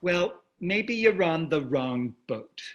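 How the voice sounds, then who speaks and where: A man's voice: on the high side, animated, slow pace, muffled recording. An actor reading the part of a blasé, privileged husband in his sixties in a staged play, with dry, dismissive remarks.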